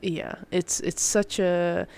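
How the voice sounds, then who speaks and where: Young podcaster with a smooth, clear voice. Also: medium pitch, little pitch movement, slow, darkish tone. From Malaysia. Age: young adult. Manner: rambling, informal, self-interrupting, with mild slang. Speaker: podcast host. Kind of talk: casual solo chat.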